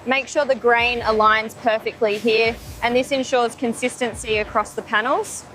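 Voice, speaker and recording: A woman's voice: on the high side, steady tone, moderate pace, fairly bright tone, slight background noise, natural voice, low-quality recording. A woman playing an approving, matter-of-fact factory quality inspector in scripted documentary dialogue.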